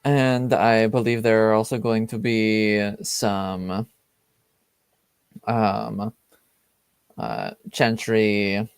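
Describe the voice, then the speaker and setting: A man playing a dry, formal noble uncle, a duke in a tabletop game, speaking in a measured, halting way. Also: lowish voice, level tone, creaky, clear, resonant tone, no echo, full character voice. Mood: measured, informative.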